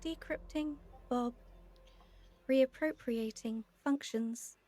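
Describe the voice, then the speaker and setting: A woman's voice: high-pitched, takes it slowly, dark tone. A woman playing a composed, capable female artificial intelligence in an audio drama, speaking in formal, clipped statements. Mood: formal, insistent. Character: female AI overseer candidate, calm, capable, insistent, overly nice.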